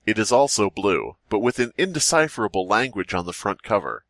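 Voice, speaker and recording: A man's voice: fairly low pitch, very animated, a little fast, a bit bright, dry recording. A man co-hosting a comedy podcast, bantering casually, reading creepy stories aloud and jokingly slipping into mock quotes. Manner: jokey, slips into mock quotes. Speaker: podcast co-host.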